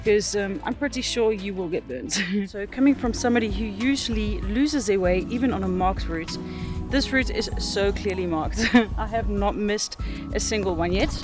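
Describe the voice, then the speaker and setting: A woman's voice: mid-range voice, normal speed. A woman from the Netherlands chatting and narrating casually as a hiking vlogger, with an enthusiastic, informal way of talking. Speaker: travel vlogger and hiker. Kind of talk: casual vlog chat and narration. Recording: music behind the voice. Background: music.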